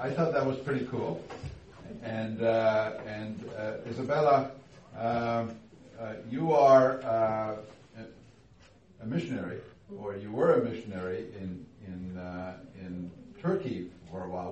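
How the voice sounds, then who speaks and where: A man hosting a church interview, speaking haltingly, with many fillers and restarts as he introduces and questions a guest. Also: fairly low pitch, a little mellow. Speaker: host, interviewer. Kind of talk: casual interview. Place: church hall. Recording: echoey room, distant mic, faint noise.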